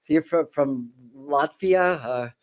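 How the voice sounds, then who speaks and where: A man's voice: fairly high pitch, takes it slowly. Rambling, stammering male host. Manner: rambling, stammering repeats, chuckling. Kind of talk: casual interview.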